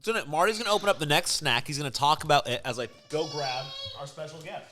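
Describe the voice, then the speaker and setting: A man's voice: on the high side, quick pace, pushed and bright, slight background noise. A man co-hosting a podcast, casually and playfully giving his reactions to snacks. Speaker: podcast co-host. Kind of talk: casual chat reviewing snacks.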